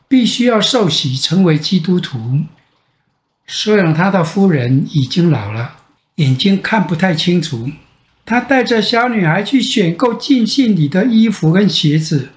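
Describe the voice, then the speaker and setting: An older man with a Taiwanese Mandarin accent tells a children's bedtime story in a gentle, expressive way with drawn-out phrase endings. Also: high voice. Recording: echoey room sound.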